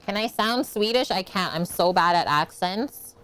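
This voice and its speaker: A woman's voice: mid-range voice, lively intonation, moderate pace, a bit bright, clear, resonant tone. A woman hosting a casual home cooking livestream, chatting as she cooks and answering viewer comments. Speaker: cooking show host. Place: home kitchen.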